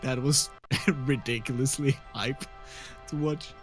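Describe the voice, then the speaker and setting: A man co-commentating a stream race, relaxed and quick to laugh, grumbling playfully at the game. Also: mid-range voice, faint music behind.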